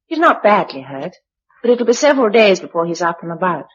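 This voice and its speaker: An actress playing an affectionate, level-headed young wife after the apocalypse, in British radio drama style. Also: female voice, fairly low pitch, very animated, a little fast, slightly rough. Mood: sober, reflective. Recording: dull, lo-fi radio drama recording.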